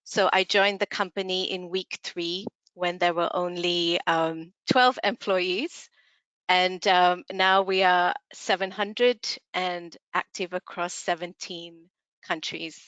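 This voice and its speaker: A woman's voice: lowish voice, steady tone, slow, a bit bright, smooth, dry recording. A woman from Malaysia, a communications head, giving an upbeat, friendly webinar presentation over a video call. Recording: dull video call audio, dry.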